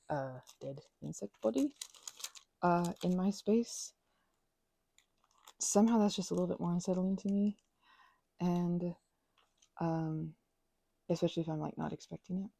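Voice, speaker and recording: A woman making soft-spoken ASMR at home, rambling as she crafts, full of fillers and dipping into whispers now and then. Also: on the low side, measured pace, gravelly, long pauses. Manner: meandering, filler-heavy, drifting into whispers. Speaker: ASMR creator. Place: indoors at home.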